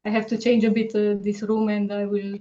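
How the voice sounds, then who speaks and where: A woman with a Romanian accent, a guest on a casual interview, speaking hesitantly with many fillers and quick laughs over a video call. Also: mid-range voice, monotone, mellow, dull audio. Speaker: guest artist.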